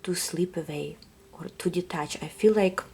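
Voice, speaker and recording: Russian-accented woman, low gravelly voice, soft hesitant tarot-reading delivery. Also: normal speed. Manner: soft-spoken, hesitant, often drops to a whisper. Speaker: tarot reader. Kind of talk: intuitive reading to viewers.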